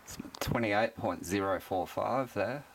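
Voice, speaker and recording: A man's voice: on the low side, measured pace, background noise. A man giving a casual, unscripted demonstration of his radio gear as a hobbyist, recorded indoors on a camera microphone. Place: indoors at a desk, behind the camera.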